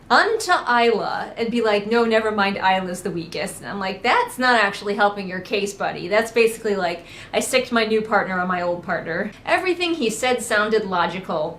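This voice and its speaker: A woman with a mid-pitched voice hosts a casual book critique. She talks conversationally, with dry sarcasm and swearing in a comedic style. There is faint noise in the recording. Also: a bit bright, roomy sound. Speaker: book critic and host of a readalong.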